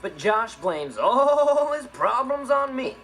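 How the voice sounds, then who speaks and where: A man's voice: extremely high pitch, sing-song, slow pace, exaggerated voice, rough recording. A young man with an American accent playing a cocky, mischievous teenage stepbrother in a sitcom monologue, teasing and exaggerating. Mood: aggrieved. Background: loud music.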